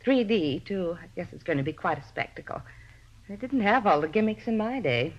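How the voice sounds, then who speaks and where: A woman's voice: mid-range voice, lively intonation, a little fast, lightly acted. A woman playing a talkative, vain older landlady who once acted, theatrical and self-important, in a radio drama. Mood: proud, nostalgic. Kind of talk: 1950s radio drama acting. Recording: muffled old-time radio recording.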